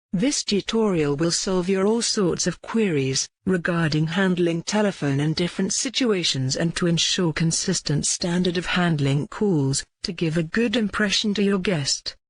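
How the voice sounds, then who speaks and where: A tutorial narrator reading scripted instructions in a measured, formal way. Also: medium pitch, no room sound.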